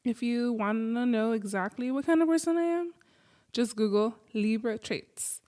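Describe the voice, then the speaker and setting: Female podcast host, American, slightly high pitch, informal and easygoing, casual monologue delivery. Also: normal speed, mellow. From Los Angeles, United States.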